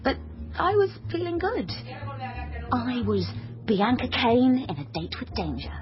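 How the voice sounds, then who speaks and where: Naive, animated young would-be detective. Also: female voice, sits high, measured pace, highs cut off, over music. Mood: eager, proud. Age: young adult. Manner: earnest, awkward, self-deprecating. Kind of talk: radio drama acting and confiding narration.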